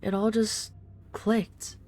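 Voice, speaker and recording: A woman playing an ageless vampire in an intimate audio roleplay, speaking softly and without hurry, tender and wistful with a gently condescending, commanding edge. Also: medium pitch, level tone, takes it slowly, lightly acted. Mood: wistful, reflective.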